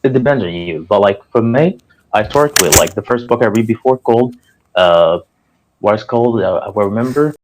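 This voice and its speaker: A man's voice: lowish voice, animated, moderate pace, background noise. A young Egyptian man with an Egyptian accent, a native Arabic speaker, talking as a guest in an earnest way and filling his speech with "like" and "you know". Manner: earnest, filler-heavy with frequent "like" and "you know". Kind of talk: casual conversation. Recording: slightly dull, muffled audio.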